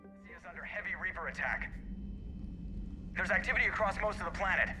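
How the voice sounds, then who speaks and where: A woman's voice: on the low side, lively intonation, a little fast, natural voice. A woman voicing an alert, concerned military communications officer in a video game. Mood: concerned, urgent. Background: music.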